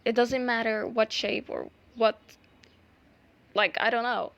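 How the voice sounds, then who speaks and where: A young woman whose first language is Arabic, talking through a craft tutorial in a hesitant, casual way with many pauses and restarts. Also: on the high side, fairly even intonation, creaky, a bit bright, lo-fi sound. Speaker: art vlogger.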